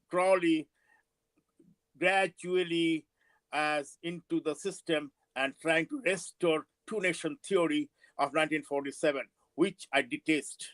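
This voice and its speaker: A man in his seventies with a Bangladeshi accent and Bengali as his first language, giving a political speech with personal recollections in a halting, emphatic way. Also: a little high, a bit bright, no room sound, low-quality recording. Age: 70s. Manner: halting, emphatic, with drawn-out words. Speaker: panel speaker at a forum.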